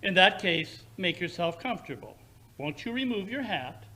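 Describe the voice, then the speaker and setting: A man playing a brilliant, condescending Victorian English gentleman detective who lectures precisely and theatrically in 1940s radio drama style. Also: high-pitched, sing-song, moderate pace, full character voice. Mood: brisk, welcoming.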